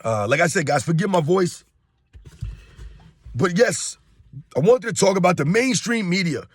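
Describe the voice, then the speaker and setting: A hoarse man in his thirties, a sports YouTuber delivering a casual, emphatic opinion rant straight to his viewers and calling them guys. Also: high voice, lively intonation, moderate pace.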